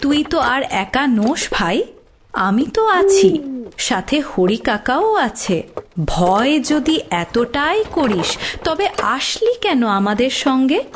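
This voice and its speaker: A woman playing a brave young village woman in an animated ghost story, teasing and scolding like an older sister. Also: high voice, slow, full character voice. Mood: teasing, reassuring. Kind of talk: animated horror story voice acting. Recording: very noisy background with clicks.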